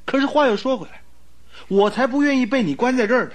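An anxious, high-voiced loyal friend. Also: male voice, animated, fast talker. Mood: exasperated. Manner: earnest, exclamatory, fretful. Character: anxious, loyal male friend of a sick detective. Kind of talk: radio drama acting.

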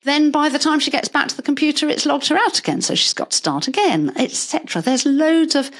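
Older woman calmly explaining. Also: high voice. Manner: measured, explanatory, with anecdotes. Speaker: retired paediatrician guest. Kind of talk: conversational interview.

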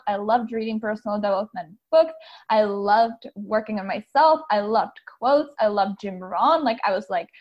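Bubbly woman from the Czech Republic. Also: on the high side. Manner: bubbly, laughs often, uses like a lot. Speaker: guest, coach. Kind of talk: casual interview chat. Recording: muffled lo-fi audio.

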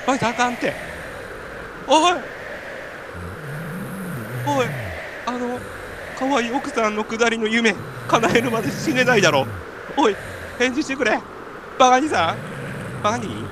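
A man's voice: very high-pitched, animated, moderate pace, pauses often, pitched above the performer's own voice. A man with a Kansai accent playing an earnest, exasperated podcaster stranded on a mountain in an amateur radio drama. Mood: desperate. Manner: earnest, exasperated retorts and pleas. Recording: clear background noise.

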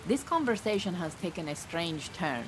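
An actress playing a proud, disillusioned Iranian refugee beekeeper in an audio drama, speaking in formal, dry, exacting phrases. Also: female voice, on the low side, big pitch swings, normal speed, background noise. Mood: guarded. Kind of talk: naturalistic audio drama acting.

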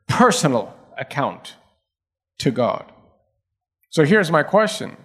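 High-voiced preaching man. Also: a bit bright. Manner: preaching with rising emphasis and repetition. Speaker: pastor. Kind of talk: sermon.

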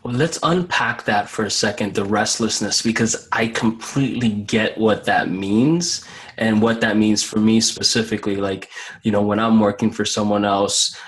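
A man hosting a casual interview, speaking effusively and a little haltingly. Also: fairly low pitch, a bit bright, slightly rough, low-quality recording. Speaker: interviewer, show host.

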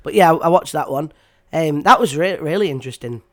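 A man's voice: fairly high pitch, animated, fairly quick, a crowd in the background. A man hosting a podcast in casual chat, stuffy from a cold, with frequent ums and restarts. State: has a cold. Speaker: podcast host.

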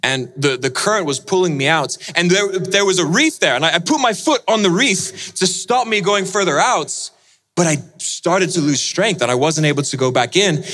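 A man delivering a sermon through personal storytelling. His voice sits a little high and moves widely in pitch. He leans into drama, rising with energy and sinking to near-hushed tones. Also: lively intonation, normal speed.

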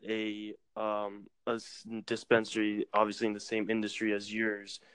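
A man's voice: on the low side, completely flat delivery, normal speed, fairly bright tone, smooth, no echo, low-quality recording. A man hosting a casual podcast, mostly agreeing with short replies and asking questions. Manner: agreeable, brief backchannels.

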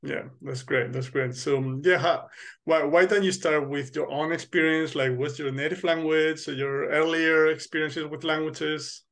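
A man's voice: fairly high pitch, fairly even intonation, moderate pace, fairly bright tone. A man hosting a podcast interview, encouraging his guest with short agreeing replies. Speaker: podcast host. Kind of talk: casual interview talk.

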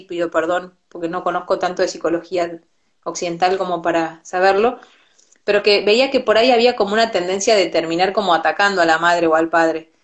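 A woman from Argentina with an Argentine accent, a guest explaining ideas in a reflective, conversational way and often restarting her words. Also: medium pitch. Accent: Argentine Spanish. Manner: thoughtful, repeats words when restarting. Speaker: Ayurveda teacher, guest. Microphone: video call.

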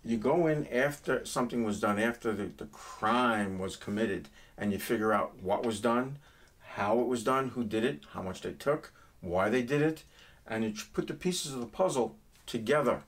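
A middle-aged man with a New York accent tells stories in a relaxed chat, slipping in jokes along the way. His voice sits in a middle pitch. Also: normal speed. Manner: storytelling with jokes. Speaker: guest. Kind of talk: casual chat.

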